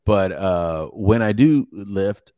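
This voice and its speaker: Animated storytelling man, dry close sound. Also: lowish voice, slow, no echo. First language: Spanish. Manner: animated storyteller, slangy, says "bro". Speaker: podcast co-host. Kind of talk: casual conversation.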